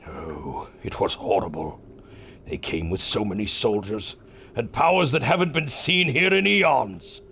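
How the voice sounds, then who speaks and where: An actor playing a lonely yeti gatekeeper of a mountain gateway, with a theatrical, solemn manner in an audio drama. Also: male voice, fairly low pitch, unhurried, bright, forceful tone, a little hiss or hum. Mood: solemn, haunted.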